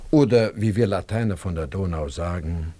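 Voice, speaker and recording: An actor with an Austrian accent playing a smug, scheming suitor who threatens coolly in a radio drama. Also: male voice, low-pitched, normal speed, dark tone. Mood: smug, menacing. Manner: insinuating, smug, sardonic.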